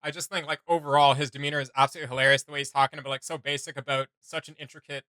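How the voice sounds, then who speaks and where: A man's voice: a little high, level tone, racing, pushed and bright, clear, resonant tone, dry recording. A man giving casual, amused commentary as the host of a reaction video.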